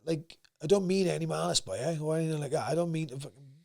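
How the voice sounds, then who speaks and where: A young man with a South Wales valleys accent and a gruff voice, talking bluntly and swearing freely as a podcast guest. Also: on the high side, fast talker, a little mellow. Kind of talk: casual interview chat.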